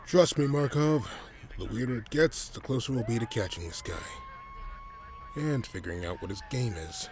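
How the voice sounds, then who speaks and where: A man playing a gruff, cynical police detective in an audio drama, terse and brusque with a gravelly voice. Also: medium pitch, animated, normal speed, a little mellow, lightly acted. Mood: grim, determined. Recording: noisy scene, siren ambience behind.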